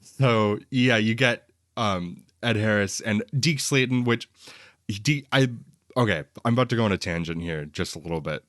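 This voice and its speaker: A man with a deep voice, recorded very dry and close. He talks about films as a podcast co-host in a casual, enthusiastic style, wandering from point to point. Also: normal speed, a bit bright, dry recording. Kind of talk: casual film discussion.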